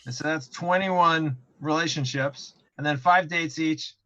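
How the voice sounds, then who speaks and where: A man's voice: fairly high pitch, measured pace, a bit bright, no room sound, highs cut off. A man in a casual panel discussion as a game developer, explaining things by thinking aloud and repeating himself.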